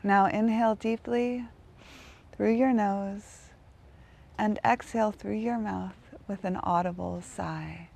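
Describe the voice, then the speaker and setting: Female meditation guide: soft-toned and relaxed, gravelly fry, gentle unhurried phrasing with long gaps. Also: mid-range voice.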